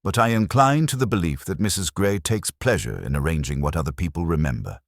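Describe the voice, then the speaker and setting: Calm male inspector read by a male narrator, extremely low voice, wry and formal, close dry sound. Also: brisk, vocal fry, no room sound, heavily acted.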